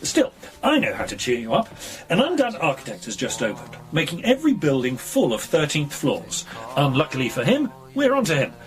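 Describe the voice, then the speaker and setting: An actor with a British accent playing a brisk, self-absorbed, flippant investigator in a radio drama, fussy and theatrical. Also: male voice, fairly high pitch, huge pitch swings, low-quality recording. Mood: brisk, teasing.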